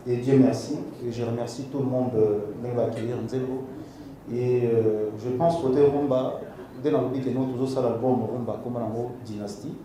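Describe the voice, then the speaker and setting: A man's voice: mid-range voice, fairly even intonation, normal speed, mellow, a little hiss or hum. Congolese man in a very echoey press room. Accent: Congolese French. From Democratic Republic of the Congo. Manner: hesitant, many fillers. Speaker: singer at a press conference. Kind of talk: press conference answers mixing French and Lingala. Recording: very echoey room from far off, crowd.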